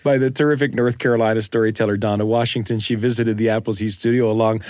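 A man's voice: fairly low pitch, steady tone, quick pace, darkish tone, dry recording. A man hosting a radio show, introducing stories and chatting with colleagues in a studio.

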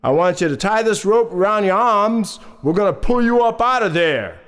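A man's voice: sits high, animated, moderate pace, a bit bright, clean tone, voice pushed up. A man voicing a reassuring fire chief giving instructions in a children's story. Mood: reassuring, urgent.

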